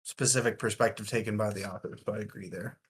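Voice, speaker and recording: A man's voice: lowish voice, steady tone, quick pace, breathy edge. A man talking casually in a book discussion, analytical and wordy, feeling out his phrasing as he goes.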